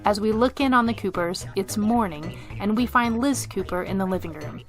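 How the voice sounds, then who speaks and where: A woman's voice: medium pitch, normal speed, soft background music. A woman hosting a radio theater program, reading a scripted introduction. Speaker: host and director.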